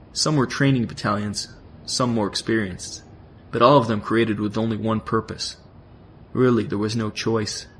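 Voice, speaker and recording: A man narrates a science-fiction audio drama in a fairly low voice. He reads scripted prose, with faint noise and ambience behind him.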